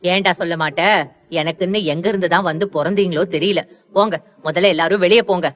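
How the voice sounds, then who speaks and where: A woman's voice: fairly low pitch, fairly even intonation, fast talker, close to an everyday voice. A woman playing a stern, domineering middle-aged mother in an audio story, scolding and threatening with emphatic delivery. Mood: angry, scolding. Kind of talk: Tamil audio story acting.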